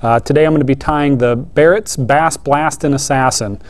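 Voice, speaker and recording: Young man from oregon, fly tyer intro, brisk, mid-pitched voice. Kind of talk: scripted introduction. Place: studio with dark backdrop.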